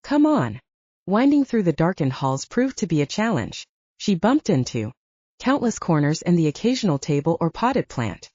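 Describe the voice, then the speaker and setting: A woman's voice: on the low side, very animated, moderate pace, mellow, no room sound. A woman narrating fiction as a scripted, dramatic audiobook reading. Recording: slightly dull, dry close recording.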